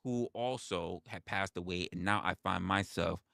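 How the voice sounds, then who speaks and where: A man in his forties holds forth on a casual livestream in a low, heavy voice. He leans hard on his points, repeats phrases and swears freely. The sound is dry and close, with no room around it. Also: normal speed, gravelly, pushed and bright, no room sound. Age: 40s. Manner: emphatic, repeats phrases, profane. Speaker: livestream host.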